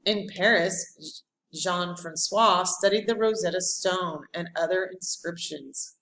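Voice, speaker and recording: A woman reading a storybook aloud like a teacher, with deliberate, dramatic phrasing and pauses. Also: fairly low pitch, a bit bright, low-quality recording. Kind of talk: expressive read-aloud of a children's history storybook.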